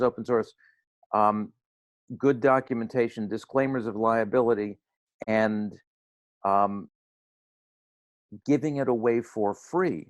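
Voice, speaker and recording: Hoarse older man, dry sound. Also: medium pitch, no echo. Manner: earnest, slightly preachy, wry. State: hoarse with laryngitis. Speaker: guest speaker. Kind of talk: roundtable talk.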